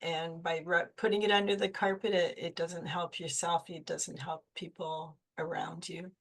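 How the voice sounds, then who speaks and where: A woman's voice: on the low side, flat delivery, moderate pace. A woman speaking candidly as a panelist in a discussion.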